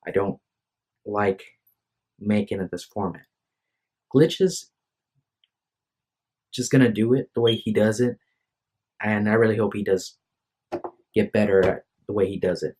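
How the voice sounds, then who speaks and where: A tired young man with a lowish voice rambles into a phone microphone at home. He talks in a hesitant, apologetic way and keeps breaking off and restarting his sentences. The phone mic gives it a close, casual vlog sound. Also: a little slow, pauses often, darkish tone, slightly rough. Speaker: vlogger. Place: room at home.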